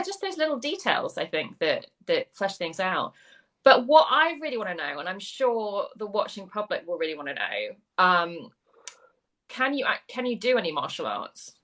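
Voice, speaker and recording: A woman's voice: fairly low pitch, fairly bright tone. Effusive woman hosting a book chat. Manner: enthusiastic, effusive. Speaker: host. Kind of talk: casual video chat interview.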